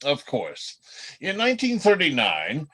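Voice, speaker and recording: A man's voice: on the high side, wildly swooping pitch, a little slow, background noise. A man reading the part of a good-natured, earnest talking reindeer in an amateur radio play. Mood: eager, sheepish. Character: good-natured talking reindeer who finishes others' sentences.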